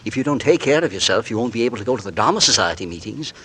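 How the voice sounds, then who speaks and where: An actor playing a polite, nervous husband who narrates a 1940s radio drama. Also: male voice, on the low side, expressive, very fast, fairly bright tone, breathy edge. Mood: genteel. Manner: genteel, polite, nervously hesitant. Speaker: narrator and lead. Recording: old radio broadcast with music.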